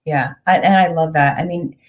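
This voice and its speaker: A woman's voice: low voice, fairly even intonation, normal speed. A woman hosting a video call interview, enthusiastic and supportive toward her guest. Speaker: host. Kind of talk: casual interview.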